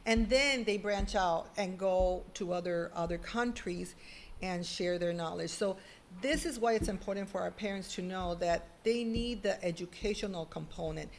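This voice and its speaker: A middle-aged woman, a guest on a video-call interview, telling stories in an animated, emphatic way. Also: on the low side, normal speed, a little hiss or hum. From Puerto Rico. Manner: animated, emphatic storytelling with lively quoted asides. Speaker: guest, former school principal. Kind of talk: conversational interview.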